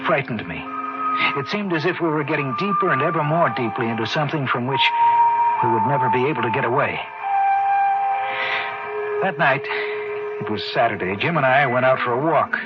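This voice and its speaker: An actor playing an uneasy young engaged man who narrates a horror tale gravely and deliberately in old-time radio drama style. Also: medium pitch, expressive, over loud music, close to an everyday voice. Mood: grave narration. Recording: noisy, muffled old-time radio recording.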